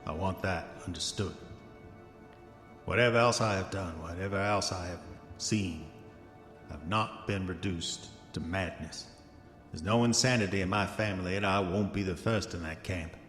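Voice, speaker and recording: A deep, echoing male voice belongs to a troubled gentleman of a bygone era in a horror radio play. He recites his diary with measured, formal insistence on his own reason. Music plays underneath. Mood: defiant, strained. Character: troubled early-1900s man, proudly rational, writing his diary. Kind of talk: dramatic first-person diary reading in audio horror drama.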